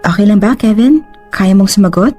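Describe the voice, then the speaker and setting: A woman playing a calm, professional doctor in a radio drama who speaks politely and reassuringly, mixing English and Tagalog. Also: medium pitch, fairly quick, soft background music, close to an everyday voice.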